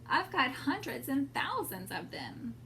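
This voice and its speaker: Woman reading as a glum porcupine, high-pitched. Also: big pitch swings, unhurried, sharp tone, full character voice. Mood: downcast. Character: lonely, glum, gentle male porcupine. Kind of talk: children's storybook read-aloud. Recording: clear background noise.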